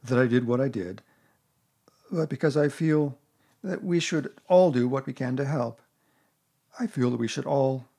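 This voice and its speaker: Storybook donkey, played by a man: doleful, ponderous, put-upon, with a husky edge. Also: medium pitch, measured pace, pauses often, dark tone. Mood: stern, self-important. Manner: doleful, ponderous, long-winded. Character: gloomy, self-important, put-upon old donkey. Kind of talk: storybook character voice.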